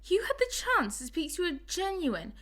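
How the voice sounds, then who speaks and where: A woman playing a cheeky, excitable young village girl in a wartime audio drama, teasing and dramatic. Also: extremely high pitch, moderate pace, heavily acted. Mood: teasing, excited.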